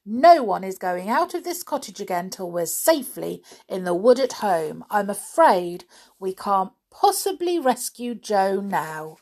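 A woman's voice: mid-range voice, very animated, a little slow, a little mellow. A woman voicing an anxious but decisive storybook man in an earnest, emphatic way. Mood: decided.

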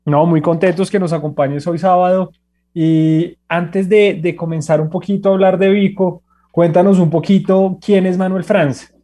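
Mellow-voiced male radio co-host. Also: high voice, fairly even intonation. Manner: slow, deliberate, some restarts. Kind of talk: introducing a guest on radio.

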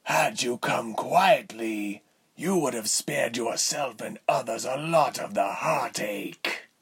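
A man voicing a condescending villain lord in a fantasy story, measured and smooth in manner with a breathy, husky tone. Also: mid-range voice, animated, fairly bright tone, pitched above the performer's own voice, exaggerated voice. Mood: coolly scornful. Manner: measured, silky, haughty.

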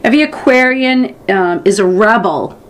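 A woman's voice: medium pitch, moderate pace. A woman from Boston with a Boston accent, co-hosting a podcast in casual chat and eagerly agreeing with her partner. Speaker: podcast co-host.

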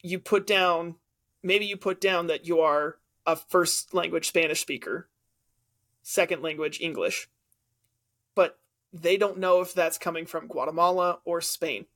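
Male podcast co-host with a high voice who argues his case with examples. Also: steady tone, measured pace, a bit bright. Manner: argumentative, explains with examples. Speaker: teacher. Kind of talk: casual debate chat.

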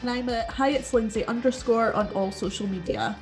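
A woman co-hosting a podcast in relaxed, self-mocking chat, laughing often. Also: a little high, fairly quick, faint music behind. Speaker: podcast co-host. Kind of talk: casual chat.

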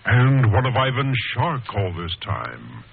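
Emphatic vintage radio serial announcer. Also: male voice, mid-range voice, very animated, vocal fry. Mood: suspenseful. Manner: slow, suspenseful, emphatic. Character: dramatic cliffhanger narrator of an adventure serial. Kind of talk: 1940s radio serial narration. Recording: tinny, hissy old radio broadcast.

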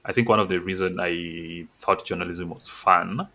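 Deep, bright-toned hesitant man. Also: fairly even intonation, normal speed, a little hiss or hum. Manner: hesitant, many "uh" and "kind of" fillers. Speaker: podcast co-host. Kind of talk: casual chat.

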